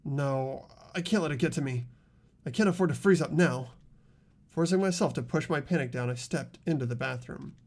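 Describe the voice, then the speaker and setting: A man voicing an earnest, anguished teenage boy student investigating a murder, in dramatic halting monologue for a visual novel. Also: on the high side, a little mellow. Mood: anguished, determined. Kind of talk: voiced visual novel reading.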